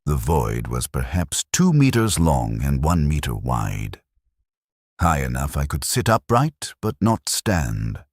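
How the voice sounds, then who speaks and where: A man narrating as a stoic, detached soldier in a scripted sci-fi story, speaking in precise, deadpan, short clipped sentences. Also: a bass voice, takes it slowly, husky, no room sound, clean studio sound, heavily acted. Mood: detached, grim. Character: stoic, detached male soldier recounting being buried alive.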